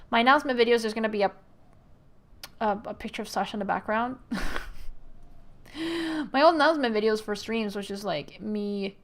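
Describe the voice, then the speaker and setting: A woman streaming a game, chatting casually, giggling and reading the game's text aloud with asides. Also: mid-range voice. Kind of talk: casual live-stream chat while playing a game.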